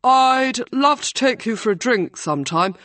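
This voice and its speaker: A woman's voice: on the high side, animated, normal speed, a bit bright, dry recording, pitched above the performer's own voice. A woman voicing an awkward, eager young male student who blushes as he asks someone out. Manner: eager, flustered.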